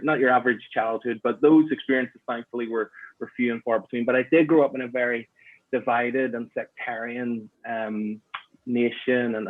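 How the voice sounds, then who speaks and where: A man's voice: medium pitch, dry recording. A young man from Northern Ireland with a Belfast accent, reflecting on his life as an interview guest with many fillers and restarts. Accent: Northern Irish, Belfast. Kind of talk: casual online interview. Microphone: video call. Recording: tinny, narrow-band call audio.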